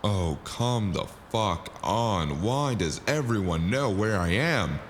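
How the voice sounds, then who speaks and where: An actor playing a cynical, deadpan mercenary in a noir audio drama, with a deep gravelly voice. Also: male voice, slow, slight background noise. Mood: weary narration. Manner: sardonic, deadpan. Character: cynical, sarcastic mercenary man narrating his own noir tale.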